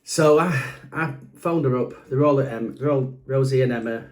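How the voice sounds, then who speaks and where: Yorkshire man, middle-aged podcast co-host, easygoing, mellow voice. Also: mid-range voice, normal speed. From Doncaster, England. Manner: easygoing, agreeable, laughs often. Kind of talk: casual chat and storytelling.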